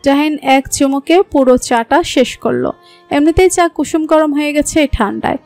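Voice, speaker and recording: A woman's voice: high voice, moderate pace, vocal fry, a little mellow, no room sound, faint music behind. A woman with a Bangladeshi accent reading scripted narration for a romantic story.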